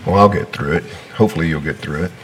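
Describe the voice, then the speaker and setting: A pastor who is sick and sounds deeper than usual, leading a prayer and starting his sermon with casual asides and fillers. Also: fast talker, a little hiss or hum.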